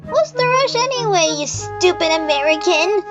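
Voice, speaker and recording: Someone voicing a high, haughty female railway coach. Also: expressive, dull audio. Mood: indignant. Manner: haughty, whiny, drawn-out delivery. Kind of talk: fan-made animated train story acting. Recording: loud music behind.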